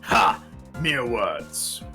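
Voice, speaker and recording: A performer doing a slow, sinister old male villain. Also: medium pitch, big pitch swings, bright, forceful tone, faint music behind, full character voice. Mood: defiant. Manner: slow, theatrical, menacing. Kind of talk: fan dub of a courtroom mystery game.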